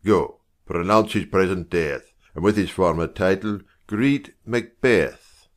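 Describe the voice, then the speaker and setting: A man playing a stately, commanding Scottish king in measured style for a Shakespeare audiobook drama. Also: deep voice. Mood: regal, commanding.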